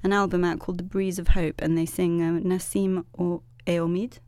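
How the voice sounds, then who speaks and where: A woman's voice: fairly low pitch, moderate pace. A woman hosting a radio show, chatting with her guest in a curious, talkative way. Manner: chatty, curious, lists words in a steady run. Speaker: radio host. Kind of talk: casual radio chat. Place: radio studio.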